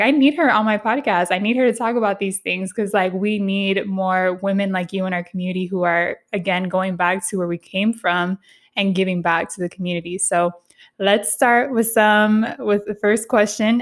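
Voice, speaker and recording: A woman's voice: mid-range voice, steady tone, normal speed. A woman hosting a podcast, interviewing in a casual, conversational way with slangy, affirming phrasing. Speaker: podcast host.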